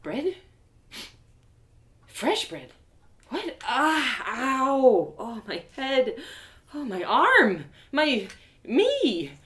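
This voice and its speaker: Woman acting a blurting, dazed young woman adventurer, high-pitched. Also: very animated, very slow, pauses often, a bit bright, exaggerated voice. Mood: groggy, pained. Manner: blurting, exclaiming, theatrical. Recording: echoey room.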